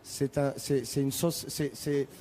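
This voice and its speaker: A man's voice: medium pitch, level tone, measured pace, mellow, slight background noise. A male singer whose first language is Arabic, answering TV interview questions hesitantly with many restarts and repeated words. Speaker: singer, guest.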